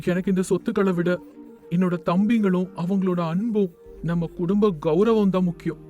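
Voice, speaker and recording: Casting: man to play solemn, devoted son in animated moral tale; high-set voice with faint music underneath. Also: moderate pace, natural voice. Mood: earnest remorse. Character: devoted, repentant grown son of a joint family. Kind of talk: animated moral story dialogue.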